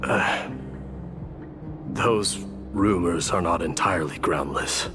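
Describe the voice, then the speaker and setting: A man's voice: sits low, takes it slowly, over music. A man playing a solemn, proud warrior prince in a video game, respectful and restrained, speaking formally. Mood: guarded.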